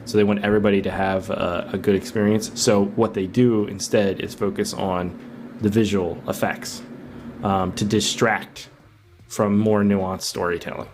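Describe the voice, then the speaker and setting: Low-pitched man in casual film chat. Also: level tone, normal speed, darkish tone, a little hiss or hum. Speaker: film podcast co-host.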